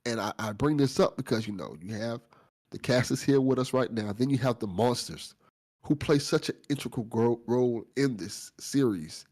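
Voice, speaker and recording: A man's voice: mid-range voice, normal speed, darkish tone. A man hosting a casual after-show discussion, effusive and informal in his praise. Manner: effusive, informal, lightly sweary. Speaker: after-show host of a fiction podcast.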